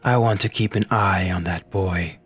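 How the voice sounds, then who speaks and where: A man's voice: sits low, normal speed, fairly bright tone, breathy edge, soft background music. A man playing a ruthless corporate boss who gives curt, commanding orders in a fan-dubbed motion comic.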